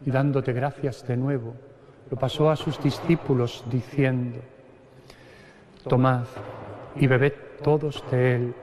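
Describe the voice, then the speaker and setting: A middle-aged man from Spain, a priest slowly and solemnly reciting the prayers of the Mass at the altar. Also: medium pitch, fairly even intonation, crowd noise. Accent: Spanish (Spain). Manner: slow solemn liturgical recitation, parts chanted. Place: large stone cathedral. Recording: long cathedral reverb.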